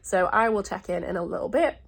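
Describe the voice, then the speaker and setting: A woman chats casually to her vlog with a husky, croaky voice that often drops into creak. She sounds stuffy and sore-throated, and she rambles along through loose asides in an informal, chatty way. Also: mid-range voice, fairly quick. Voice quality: slightly croaky, congested. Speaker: travel vlogger.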